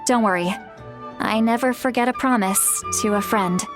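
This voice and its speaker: A woman's voice: fairly high pitch, normal speed, music in the background, close to an everyday voice. A woman playing a composed young leader in a game who speaks firmly. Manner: firm, composed. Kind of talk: game dialogue.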